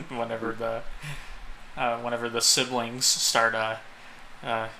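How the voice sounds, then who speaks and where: A man co-hosting a podcast in casual, halting banter, often breaking into chuckles. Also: medium pitch, level tone, pushed and bright, poor audio. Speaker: podcast co-host. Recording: faint background noise.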